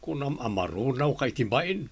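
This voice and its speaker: A man playing a concerned, curious husband and father in a radio drama, questioning in a husky voice. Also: medium pitch, sing-song, normal speed. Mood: curious, relieved. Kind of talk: Filipino radio drama acting.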